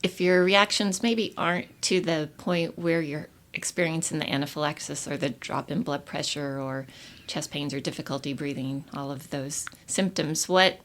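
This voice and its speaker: A woman with a slightly low voice speaks as a podcast interviewer. Her delivery is casual and conversational as she puts questions to a guest. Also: steady tone, moderate pace, fairly bright tone.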